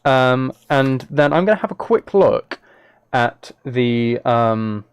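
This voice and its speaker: Male streamer, mid-pitched, casual and chatty, talking to viewers while playing, very dry recording. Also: expressive, slow, smooth, dry recording. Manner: chatty, thinking aloud as he plays. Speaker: live streamer. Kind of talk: casual live game stream chat, replying to viewers.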